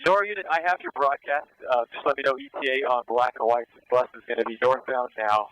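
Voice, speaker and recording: A man's voice: medium pitch, fast, a bit bright, highs cut off, a little hiss or hum. A man speaking over police radio as an air unit officer, giving terse, directive tactical callouts. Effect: police radio transmission.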